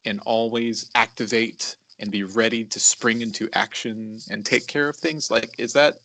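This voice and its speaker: A man in his mid-thirties talking as a podcast co-host in a reflective, confessional way, halting and deliberate, repeating words for emphasis. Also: lowish voice, fairly even intonation, pushed and bright, rough recording.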